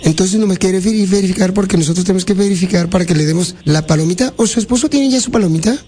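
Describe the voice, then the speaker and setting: High-voiced Mexican radio prankster. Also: male voice, fast talker, a little hiss or hum. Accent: Mexican Spanish. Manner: deadpan, feigning formal officialese. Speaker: radio prank-call host. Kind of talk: prank call and studio banter.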